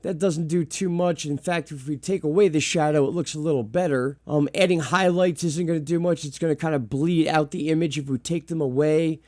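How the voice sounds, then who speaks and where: A hoarse man, still getting over an illness, chatting casually to viewers as a channel host while working through a video on screen. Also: fairly high pitch, steady tone, fairly quick, hardly any pauses, a little mellow, no echo, clean studio sound. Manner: chatty and speculative, addressing viewers as friends. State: hoarse after being sick. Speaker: host of a channel on sky anomalies.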